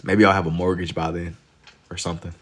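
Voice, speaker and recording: A man in his twenties with a very deep voice hosts a livestream and chats with viewers. He talks in relaxed slang and turns loud and laughing when chat teases him. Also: quick pace. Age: 20s. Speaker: livestream host. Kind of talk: casual chat with viewers while drawing.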